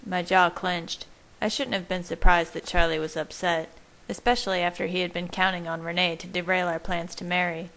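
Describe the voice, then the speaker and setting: Lowish-voiced woman narrating a story. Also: fairly even intonation, a little fast, hardly any pauses, a bit bright, rough recording. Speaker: amateur audiobook reader. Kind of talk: scripted first-person story narration.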